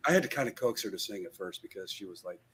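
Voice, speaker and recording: A man's voice: low voice, animated, very fast, fairly bright tone, breathy edge. A man in his sixties, a guitarist guest in an interview, telling his story in a rambling way with many fillers and restarts over a video call. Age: 60s.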